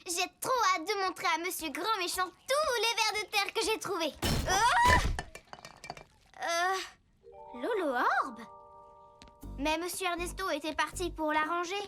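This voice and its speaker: A woman voicing an excitable, easily distracted little girl hero in a cartoon, bubbly and chattering. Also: extremely high pitch, measured pace, long pauses, over loud music. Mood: curious. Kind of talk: cartoon dub acting.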